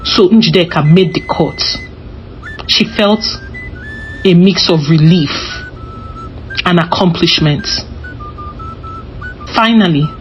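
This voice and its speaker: Female storyteller, slightly low voice, scripted folktale narration, a bit dull in sound over music. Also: takes it slowly, pauses often.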